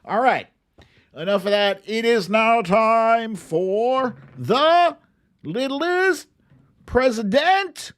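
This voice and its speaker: A man hosting an online show in a playful, animated, casual style with some swearing. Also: a little high. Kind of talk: casual livestream chat, comic picture-book reading and political commentary.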